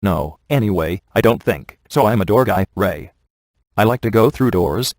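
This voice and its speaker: An actor playing a sardonic, laid-back male ghost hunter in a video game, delivering wry scripted quips in a casual deadpan manner. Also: fairly low pitch, moderate pace, dry recording, studio quality, natural voice. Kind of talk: scripted game dialogue, dry wisecracks.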